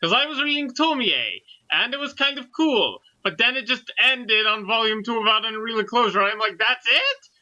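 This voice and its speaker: A man's voice: very high-pitched, lively intonation, moderate pace, hardly any pauses, bright, forceful tone. A man co-hosting a casual group chat in an enthusiastic, rambling, slangy way, on a dull lo-fi recording. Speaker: co-host.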